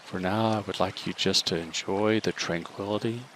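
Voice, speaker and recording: A man leading a guided meditation, giving slow, calm instructions with long pauses between them. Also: deep voice, a little hiss or hum.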